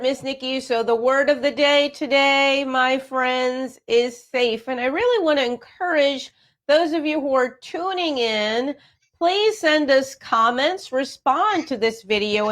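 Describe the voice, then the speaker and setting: She is a woman with a voice set a little higher than most. She hosts an educational talk for families, addressing listeners directly. Her manner is encouraging and affirming. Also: fairly bright tone. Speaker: radio show host.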